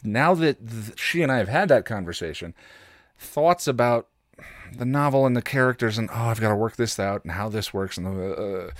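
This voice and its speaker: A man hosting a casual solo podcast, talking in a rambling, halting way as he thinks aloud. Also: on the low side, lively intonation, dry recording, studio quality. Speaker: podcast host and content creator.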